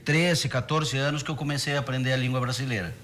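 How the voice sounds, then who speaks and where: A man from southern Brazil, a musician guest, telling stories of his childhood in a relaxed, halting way. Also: mid-range voice, a little hiss or hum. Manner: halting, repeats words, storytelling. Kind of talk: casual chat interview.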